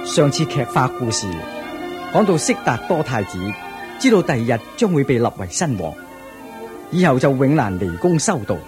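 A man's voice: medium pitch, very animated, moderate pace, loud music behind the voice. A man narrating a scripted radio drama as storyteller.

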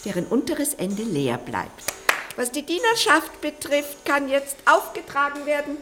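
An actress playing a formal, orderly hostess in a German radio play, precisely and ceremoniously presenting the scene and directing guests. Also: female voice, high-pitched, sing-song, unhurried.

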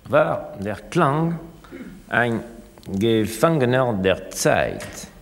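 A man lecturing in a scripted radio-essay dialogue, formal, deliberate and oracular as he expounds ideas. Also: medium pitch, expressive, creaky, slight background noise, heavily acted. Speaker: thinker expounding ideas on sound. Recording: long reverberant hall.

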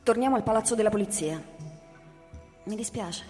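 A woman's voice: mid-range voice, normal speed, darkish tone, close to an everyday voice. Actress as resolute woman, reverberant, over music. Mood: tense, resolute. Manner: earnest, dramatic. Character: tense, resolute woman pleading for loyalty to the system. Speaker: actor. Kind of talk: theatrical radio drama dialogue. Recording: hall reverb with music underneath.

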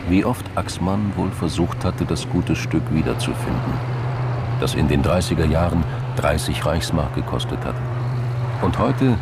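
A man's voice: sits low, gravelly, background noise. A man reading scripted narration for a radio drama, with vehicle sounds and ambience behind him.

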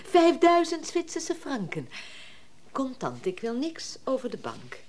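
A middle-aged woman in a radio play, thrifty and wary. She chats in a down-to-earth, dismissive way with a very lively pitch, over faint background noise. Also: measured pace, exaggerated voice. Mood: businesslike, wary. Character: thrifty, wary middle-aged woman selling her late uncle's old instrument. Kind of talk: naturalistic radio drama acting.

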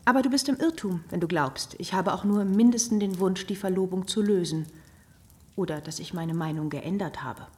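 Woman playing a proud, low-voiced young lady. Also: a little fast, natural voice. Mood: coolly determined. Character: proud, coolly polite young gentlewoman, daughter. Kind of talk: radio drama acting.

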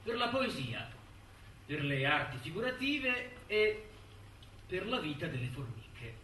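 Bright, sharp-voiced, needling male interrogator. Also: high-pitched, big pitch swings, unhurried, exaggerated voice. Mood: probing, incredulous. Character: insistent, sarcastic male court examiner questioning a defendant. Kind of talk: theatrical courtroom interrogation. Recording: roomy, distant stage recording, faint noise.

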